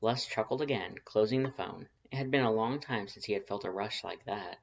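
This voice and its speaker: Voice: mid-range voice, a little fast, creaky, a little hiss or hum. A fan fiction narrator reads scripted prose in a storytelling style. The home recording is a little dull and lo-fi.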